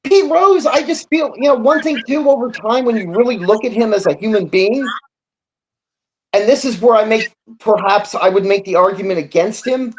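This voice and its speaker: Man, sports talk panelist, casual, halting phrasing, repeated words, reflective. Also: sits high, slight background noise, poor audio. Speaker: sports talk show co-host or guest.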